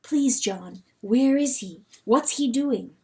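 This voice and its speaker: A woman's voice: a little high, expressive, slow, a little mellow, voice pushed up. A worried lady hen from an animal storybook, played by a woman reader. She is tender and earnest, pressing her questions in a pleading, slightly raised voice. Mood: pleading, urgent.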